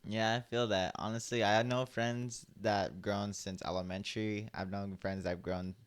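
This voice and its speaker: A young man co-hosting a podcast, telling stories in a relaxed, chatty way and leaning on "like" often. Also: fairly low pitch, normal speed, clean tone. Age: young adult. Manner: laid-back storytelling, heavy use of "like". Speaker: podcast co-host. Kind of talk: casual chat.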